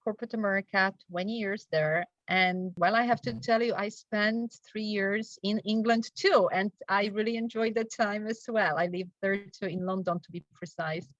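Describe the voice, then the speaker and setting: A woman's voice: medium pitch, steady tone, normal speed, few pauses, no echo. A middle-aged woman from Poland with a Polish accent, a guest telling her story in a chatty way, laughing often and checking in with "right?". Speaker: guest, travel wellness coach. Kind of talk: casual interview chat. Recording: dull, dry lo-fi sound.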